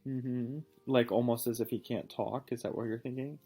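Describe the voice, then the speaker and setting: A man reading a storybook aloud and pausing to ask questions in a patient, encouraging manner. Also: medium pitch, steady tone, warm tone, soft background music. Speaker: reading teacher or parent reading aloud.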